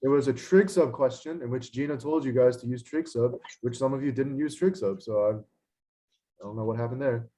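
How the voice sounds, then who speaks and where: A young man with a hoarse voice teaches a class over a video call. He scolds the students in a frustrated way, filling his talk with "um" and "like." The sound is that of a computer microphone. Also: medium pitch, moderate pace, mellow. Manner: scolding, frustrated, many fillers. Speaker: instructor. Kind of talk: online lecture. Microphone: computer mic on a video call.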